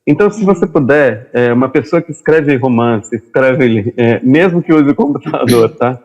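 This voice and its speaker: A male host at an average pitch, opening the chat and asking questions in a loose, friendly way. His questions wander and are full of fillers. The audio is slightly dull and lo-fi. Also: animated, moderate pace, a little mellow. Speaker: interview host. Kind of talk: casual interview chat. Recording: dull lo-fi sound.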